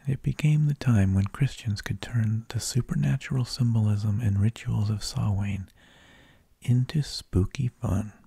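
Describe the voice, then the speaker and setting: A man narrating in a soft, breathy ASMR whisper, with slow, deliberate phrasing, close to the microphone. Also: lowish voice, no room sound. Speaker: ASMR host.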